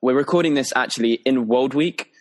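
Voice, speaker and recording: A man's voice: medium pitch, brisk, a little mellow. A young man from England hosting a podcast, asking easygoing questions in a casual interview. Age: young adult. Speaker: podcast host.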